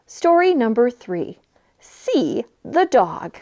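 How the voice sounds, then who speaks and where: A woman leading a children's storytime, talking in an animated way and putting questions straight to young listeners. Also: a little high, takes it slowly. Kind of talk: children's storytime read-aloud and chat.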